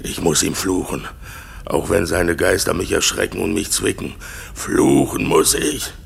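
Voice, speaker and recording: An actor playing a resentful, fearful island monster in a German radio play, theatrical and gravelly, swinging from growled curses to panicked cries. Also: male voice, low-pitched, fairly even intonation, a little slow, heavily acted. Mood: bitter, brooding. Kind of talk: German radio play acting of classic verse.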